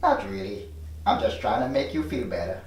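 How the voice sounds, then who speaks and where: An actor playing a wry, laconic, gravelly-voiced neighbor in a dramedy table read. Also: male voice, medium pitch, wildly swooping pitch, moderate pace, darkish tone. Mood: wry, low-key. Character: wry, laconic long-dead male neighbor. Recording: roomy echo, distant microphone.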